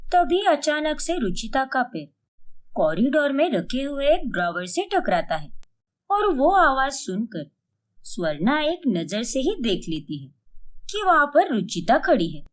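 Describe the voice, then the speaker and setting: High, animated woman storyteller. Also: measured pace. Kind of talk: dramatic scripted story narration.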